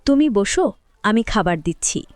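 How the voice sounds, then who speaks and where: A woman voicing a cruel, scheming village stepmother in a cartoon story, scolding and sharp-tongued. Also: medium pitch, lively intonation, moderate pace, natural voice. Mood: placating, evasive. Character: cruel scheming village stepmother favouring her own son. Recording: music behind the voice.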